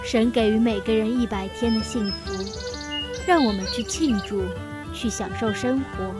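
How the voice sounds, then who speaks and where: Woman as a highish-voiced contented young villager. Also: normal speed, close to an everyday voice. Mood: serene. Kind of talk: radio drama acting. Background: background music.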